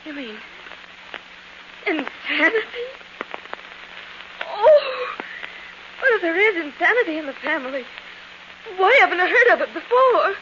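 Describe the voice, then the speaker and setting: A woman's voice: extremely high pitch, sing-song, full character voice. An actress playing a fragile young woman who fears for her sanity, breathless and pleading, in 1940s radio thriller style. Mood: anxious, despairing. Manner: breathless, halting, pleading, theatrical. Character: frightened, fragile young niece doubting her own sanity. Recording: dull, noisy old 1940s radio recording.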